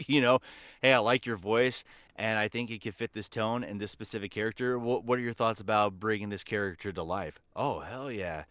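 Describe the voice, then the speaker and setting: A man's voice: on the low side, normal speed, fairly bright tone, smooth, no room sound, studio quality. A man talking as a guest voice actor in a casual podcast chat, with an enthusiastic, informal way of speaking.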